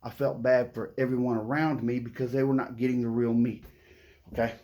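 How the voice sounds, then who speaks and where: A man's voice: mid-range voice, level tone, brisk, warm tone. A middle-aged man who stutters, talking to the camera in a playful, upbeat way and often adding "okay?". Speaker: vlogger. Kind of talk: casual motivational talk to camera.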